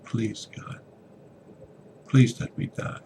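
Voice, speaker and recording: A man voicing a tormented, helpless son in a dramatic story reading, pleading in a breathy whimper. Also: slow pace, mellow, slight background noise, close to an everyday voice. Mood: anguished, despairing.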